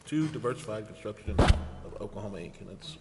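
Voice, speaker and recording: A man's voice: fairly low pitch, animated, brisk. A man chairing a city council meeting, formally reading out agenda items and procedure. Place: large indoor council chamber. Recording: echoey hall, audible reverb, faint noise.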